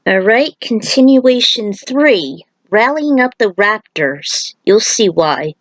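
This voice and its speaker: A woman's voice: mid-range voice, big pitch swings, slow pace, no echo, rough recording. A woman narrating her own fan fiction, moving between casual recap and reading aloud.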